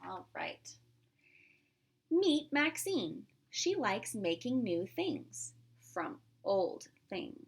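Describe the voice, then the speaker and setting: A woman reads a storybook to little children as their teacher. Her pitch swings widely and she takes her time, stressing key words. She often breaks off to explain or put a question to the listeners, and her voice has some creak. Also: sing-song, very slow. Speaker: pre-K teacher. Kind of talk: read-aloud to young children with explanations and questions.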